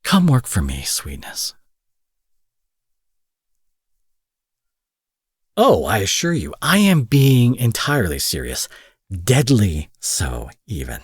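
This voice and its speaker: Man acting a deep, husky, possessive supervillain. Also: huge pitch swings, a little slow, dry recording, studio quality, full character voice. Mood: persuasive, possessive. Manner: theatrical, coaxing, dropping from forceful to breathy murmurs. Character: smooth protective male supervillain courting his captive rival. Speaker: audio roleplay performer. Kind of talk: dramatic intimate audio roleplay monologue.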